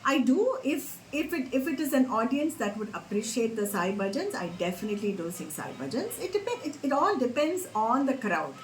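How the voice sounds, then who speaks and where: Higher-voiced Indian woman in a roomy space. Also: big pitch swings, brisk, few pauses. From Singapore and Bombay, India. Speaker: interview guest, Carnatic singer. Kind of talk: conversational interview answers.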